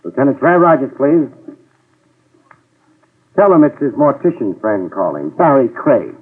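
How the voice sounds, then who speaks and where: An American actor playing a wry, slangy, fearless New York private eye in 1950s radio style. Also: male voice, medium pitch, expressive, unhurried, husky. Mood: wry, cool. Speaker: lead actor in a radio detective series. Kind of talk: 1950s radio acting, hard-boiled narration. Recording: tinny, band-limited 1950s radio recording.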